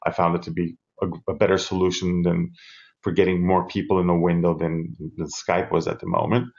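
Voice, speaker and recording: A middle-aged American man speaks as a guest over a video call. His voice is low and gravelly, and he rambles with enthusiasm and frequent restarts. The livestream audio is dull and lo-fi. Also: level tone, a little fast. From United States. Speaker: guest, lifestyle blogger. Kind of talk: casual conversational interview.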